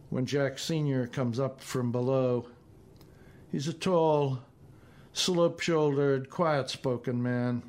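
A man reading his own literary short story as narrator, in a measured, deliberate manner. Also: mid-range voice.

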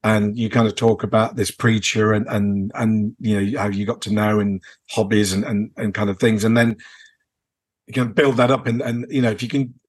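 A man's voice: lowish voice, level tone, normal speed, husky. A man chatting casually as a podcast host, tentative and repeating words as he thinks. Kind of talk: casual unscripted chat.

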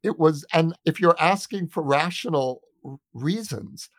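A middle-aged American man speaking reflectively as an interview guest, making his case deliberately and emphatically, repeating words and pausing for weight. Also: a little high, lively intonation, no echo, clean studio sound. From United States. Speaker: interview guest, journalist and author. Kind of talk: reflective conversational argument in an interview.

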